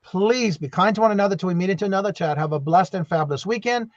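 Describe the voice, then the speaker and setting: A man's voice: high voice, quick pace, no echo, dull audio. A man hosting a casual live stream, chatty and full of fillers, saying y'all a lot. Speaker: host.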